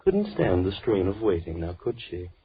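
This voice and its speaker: An actor playing a deranged, murderous man obsessed with chance, mock-gentle and taunting, in 1930s radio horror style. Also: sits low, very animated, normal speed, a little hiss or hum. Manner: mock-gentle, taunting, repeating his phrases. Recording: tinny, band-limited 1930s radio broadcast.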